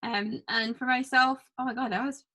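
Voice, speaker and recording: A woman's voice: a little high, fairly even intonation, moderate pace, bright, forceful tone. A woman from southern Africa speaking casually and reflectively in a podcast conversation, hesitant as she thinks aloud. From southern Africa (Zambia and Botswana), later Scotland. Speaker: podcast guest or panelist.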